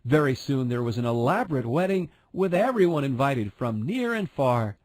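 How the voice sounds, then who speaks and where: A man's voice: mid-range voice, very animated, moderate pace, darkish tone, dry recording. A man narrating a fairy tale as a scripted storyteller.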